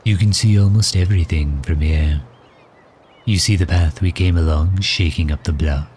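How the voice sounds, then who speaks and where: A man playing a courtly, overprotective villain who fusses gently and formally in an ASMR roleplay drama. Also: low voice, moderate pace, slight background noise, close to an everyday voice. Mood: proud, expansive. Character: doting, overprotective male villain caring for injured hero.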